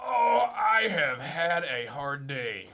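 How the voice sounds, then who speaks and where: An actor playing a bossy, grumpy older father in an old-time radio comedy, gruff and blustering. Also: a little high, big pitch swings, slow, pushed and bright, heavily acted. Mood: grumbling.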